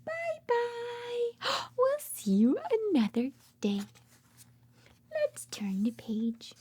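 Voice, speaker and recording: A woman's voice: very high voice, huge pitch swings, extremely slow, darkish tone, no room sound. A woman doing an interactive picture-book read-aloud for toddlers, with exaggerated sing-song delivery, playful repetition, gasps and whispers. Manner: exaggerated sing-song child-directed talk; repeats words playfully. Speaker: children's read-aloud host, speech therapist.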